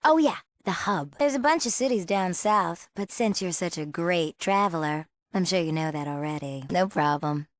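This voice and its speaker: A woman's voice: fairly low pitch, moderate pace, hardly any pauses, dry recording, studio quality, close to an everyday voice. A young woman with an American accent playing a bored, sassy small-town girl in a video game, casual and sardonic. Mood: friendly, restless. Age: young adult. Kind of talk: video game character acting.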